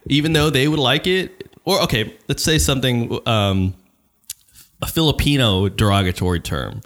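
A man's voice: medium pitch, normal speed. A man hosting a casual podcast, talking loosely and profanely with lots of restarts. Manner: loose, profane, animated talk with many restarts. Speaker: podcast host.

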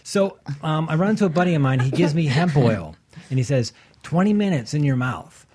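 A man's voice: on the high side, very animated, normal speed, a crowd in the background. A man who is a guest on a casual radio talk show, telling a personal story in an easygoing, chatty way. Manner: anecdotal storytelling, laughs easily.

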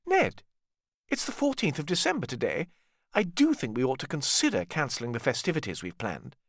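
A male narrator puts on a soft-spoken older matriarch in an audiobook family saga. The pitch is raised well up, with a lively lilt. She sounds gentle and conciliatory, in a dry recording. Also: fairly quick, dry recording, full character voice. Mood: concerned. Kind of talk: audiobook character dialogue.

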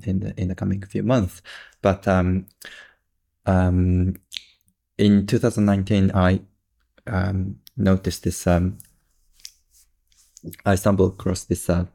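A man's voice: sits low, little pitch movement, unhurried, gravelly, pauses often, mellow, slightly rough. A man hosting an interview, speaking hesitantly with many "um"s. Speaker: interview host. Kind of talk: casual interview.

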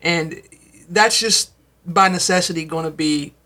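A man's voice: fairly high pitch, a little slow, bright, forceful tone. A man in a casual podcast discussion, making his point in short, emphatic phrases. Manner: emphatic, punchy declarative phrases.

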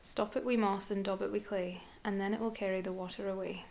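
A woman voicing a croaking yellow frog who courts a girl, in a sing-song, pleading chant. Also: medium pitch, little pitch movement, brisk, close to an everyday voice. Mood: coaxing. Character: croaking yellow frog suitor, male, insistent.

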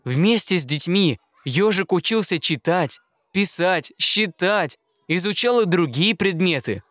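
A man narrates a children's fairy tale gently and expressively. He takes an unhurried pace, and his pitch moves up and down in a lively way. The recording is dry and intimate, with no room sound. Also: no room sound. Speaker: reader of children's fairy tales. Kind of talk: scripted storybook narration.

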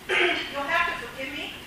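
A woman teaching the New Testament in a measured academic lecture, pausing often with fillers, her voice a little gravelly from being sick. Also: high voice, steady tone, noisy background. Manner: measured, many pauses and fillers. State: gravelly voice from illness. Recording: echoey room from far off.

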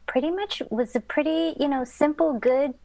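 A woman's voice: sits high, moderate pace, vocal fry, no echo, poor audio. Chatty middle-aged woman, dry close sound. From Indonesia, raised in Southern California. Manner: warm storytelling with many fillers and restarts. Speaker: podcast guest, founder. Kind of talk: casual interview conversation.

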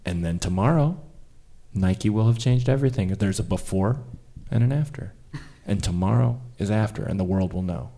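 A man in improvised radio theater playing a grandiose, clueless advertising executive who speaks in a halting, self-important way. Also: lowish voice, lively intonation, creaky, dark tone.

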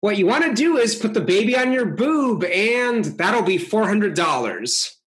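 A man born in Russia talks as a comedy podcast guest. His voice sits a little high, and he rambles through his explanations before landing his jokes deadpan. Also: unhurried. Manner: rambling, deadpan joke delivery. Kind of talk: casual podcast banter.

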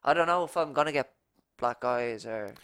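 A man's voice: medium pitch, normal speed, a bit bright, smooth, dry recording, clean studio sound. A young Irish man in his twenties with a nasal voice, telling a rambling story in a casual podcast chat. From Ireland. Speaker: podcast co-host.

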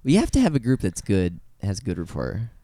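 A man with a slightly low, lively voice talks casually as a podcast co-host. He is profane and conversational, and his delivery swings freely in pitch. The sound is dry. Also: fairly quick, no room sound. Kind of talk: casual comedic podcast chat.

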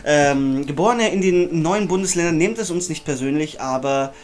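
Brief: man, podcast co-host, slightly high voice, rambling jokey banter. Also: normal speed. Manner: jokey, rambling, bursts into loud exclamations. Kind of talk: casual comedic chat.

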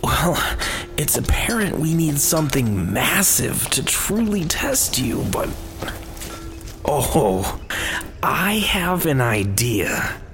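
Higher-voiced, animated, eager archangel played by a man. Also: slow pace. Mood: coaxing. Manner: casual, coaxing, excitable. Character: encouraging, eager male archangel, a loyal friend. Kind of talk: fantasy audio drama acting. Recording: music under the voice. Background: music.

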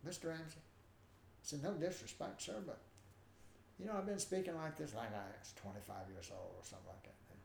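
An older man with a noticeably nasal voice tells stories as a guest, lively and acting out the people he quotes. His voice rings in a roomy space with a faint hum of ambience behind it. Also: a little high, a little fast, vocal fry. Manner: animated, acts out quoted voices. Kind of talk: storytelling in a conversation.

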